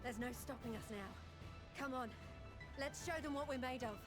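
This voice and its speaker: A woman's voice: high voice, normal speed, close to an everyday voice. An actress playing a determined, plucky young female fighter in a video game. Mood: rallying. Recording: game music behind the voice.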